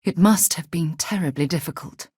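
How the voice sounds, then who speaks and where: A woman voicing a principled, curious space explorer in a video game, husky and articulate, with a composed, earnest delivery. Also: lowish voice, moderate pace, a little mellow, dry recording. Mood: reflective. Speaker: game voice actor. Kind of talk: video game companion dialogue.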